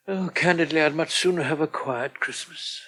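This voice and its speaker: Radio soap: elderly, self-pitying Englishman. Genteel grumbler, dry wit, slightly high forceful voice. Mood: grumbling. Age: older. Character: frail, self-pitying old man, fussy and drily gloomy. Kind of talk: British radio soap acting.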